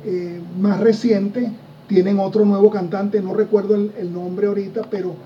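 A man's voice: high-pitched, flat delivery, moderate pace, distant mic, dull audio, a little hiss or hum. A man co-hosting a progressive rock music show, chatting casually and enthusiastically about bands, with frequent fillers. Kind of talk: casual radio-style music chat.

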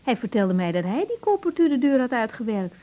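A woman's voice: a little high, very animated, a little fast, lo-fi sound. An actress playing a frank, sensible young woman doctor in a naturalistic radio drama, talking in a conversational, questioning way. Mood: frank, encouraging. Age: young adult.

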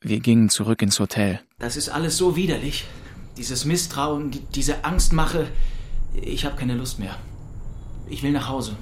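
A man's voice: mid-range voice, measured pace, slight background noise, close to an everyday voice. An actor playing a weary, bitter young man who narrates his own story in an audio drama. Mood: weary, fed up. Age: young adult.

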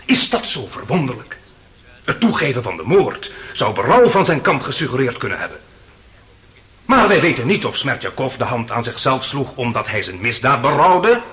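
A man's voice: fairly high pitch, a bit bright. A man acting in a radio play as a passionate, earnest defence lawyer, pleading to a jury with emphatic, persuasive rhetoric. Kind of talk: theatrical radio play courtroom oratory.

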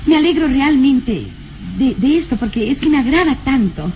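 A woman's voice: sits high, animated, normal speed, darkish tone. An actress playing a romantic, independent-minded young lady in an old radio serial, coy, sighing and gushing. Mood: admiring, charmed. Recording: old radio recording, faint noise.

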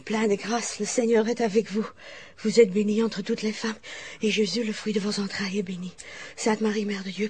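Slow, husky nun. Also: female voice, on the high side, a bit bright, full character voice. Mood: hushed prayer. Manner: solemn, commanding, slipping into French. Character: devout, authoritative French-speaking convent nun. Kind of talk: audio drama acting.

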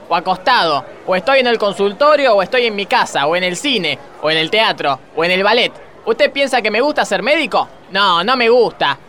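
Man playing a high-pitched, flippant Argentine doctor. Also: pushed and bright, a little hiss or hum. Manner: blustering, rambling, laughs at own jokes. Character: grumpy, flippant male doctor who jokes callously. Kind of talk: radio comedy sketch acting.

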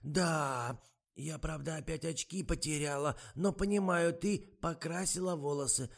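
A man voicing a frail, half-deaf elderly actress with a cracked, wheezy, high quavering voice, fussing and doting. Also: unhurried, gravelly. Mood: pleading.

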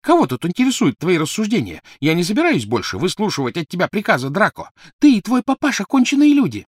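Man doing a huge teen bully, oddly soft voice, raised and high, jeering, menacing, dry close sound. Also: expressive, unhurried, no room sound. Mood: furious. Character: huge teenage boy thug, gentle-voiced and brutish. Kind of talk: audiobook character voice.

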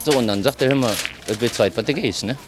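A man's voice: medium pitch, fast, noisy background. A man, middle-aged or older, giving casual, colloquial interview answers as a market trader, often adding tag questions. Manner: colloquial, tags phrases with "ne". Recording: clear music under the voice.

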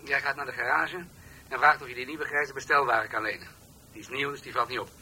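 A man's voice: mid-range voice, fairly even intonation, fast talker, bright, forceful tone, slight background noise, rough recording. A man acting an older police commissioner in a Dutch radio drama, fatherly and muttering, with hesitant turns. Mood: brisk, commanding. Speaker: actor in a radio play.

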